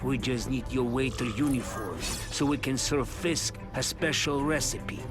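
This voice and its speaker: A man's voice: mid-range voice, a little slow, lightly acted. A man playing a menacing, mocking henchman in a video game, sneering as he taunts. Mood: sneering menace. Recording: music behind.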